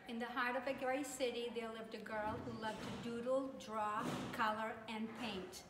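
A woman with a slightly high voice reads a picture book aloud. The room is echoey, with faint noise. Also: fairly even intonation, moderate pace. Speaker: school staff member reading aloud.

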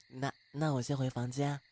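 A man's voice: medium pitch, level tone, a little slow, noisy background, close to an everyday voice. A man playing a flustered, bashful, grumbling young housemate in an audio drama. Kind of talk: Chinese fan audio drama acting.

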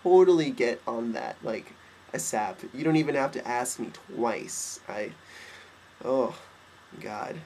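A man's voice: mid-range voice, expressive, gravelly, a little mellow, slight background noise. A young man with a stuffed, nasal voice, talking in a campy, cheeky way to his webcam in a bedroom. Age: young adult. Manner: campy, cheeky, quick asides. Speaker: vlogger. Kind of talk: casual webcam vlog. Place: bedroom, indoors. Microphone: webcam at desk.

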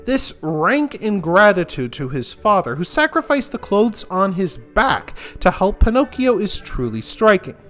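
A man narrating a scripted history of a fairy tale in an expressive storytelling manner. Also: sits high, a little slow, few pauses, soft background music. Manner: expressive, lively storytelling delivery. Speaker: host.

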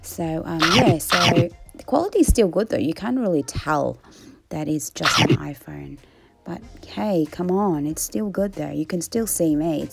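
A woman, chatting casually in a home kitchen while cooking and eating, talking in a relaxed, rambling way with many ums. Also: on the low side, measured pace, gravelly, soft background music. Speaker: food vlogger. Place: home kitchen and dining table indoors. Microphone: phone on a tripod.

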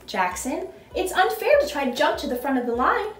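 A friendly, sensible hippo from a children's storybook, voiced by a woman. He explains firmly in a high, very animated voice. The sound is roomy. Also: brisk, faint music behind. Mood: patiently firm. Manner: firm, explaining.

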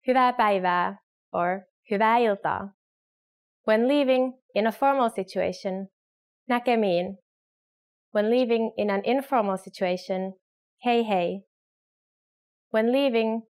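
A young woman reads a scripted lesson straight to camera. She says each phrase slowly and clearly, as a model for learners. It was recorded on a studio mic. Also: mid-range voice. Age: young adult. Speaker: language teacher.

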